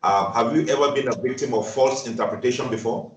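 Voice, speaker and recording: A man's voice: mid-range voice, quick pace, reverberant, far from the mic, a crowd in the background, rough recording. A man with a Nigerian accent hosting a dream interpretation ministry channel, talking effusively and repeating his affirmations.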